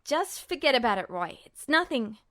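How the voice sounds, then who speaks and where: A woman voicing a proud, gruff, easily embarrassed young man in an audiobook story, blurting in brusque slang. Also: a little high, big pitch swings, moderate pace, a bit bright. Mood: panicked, dismissive.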